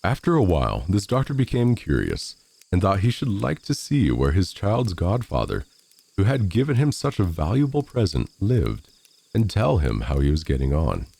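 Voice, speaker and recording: Male narrator for classic fairy tales, low and mellow, with very wide swings in pitch. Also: wildly swooping pitch, moderate pace, vocal fry. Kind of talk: scripted storybook narration.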